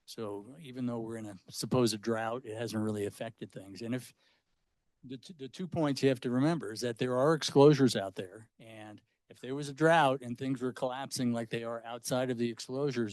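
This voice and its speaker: Uomo dalla voce asciutta, spiegazione tecnica esitante. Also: male voice, mid-range voice, fairly quick, a little mellow, no echo. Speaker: research scientist on a panel. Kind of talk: unscripted panel answer, explanatory. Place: indoor public panel discussion at a library.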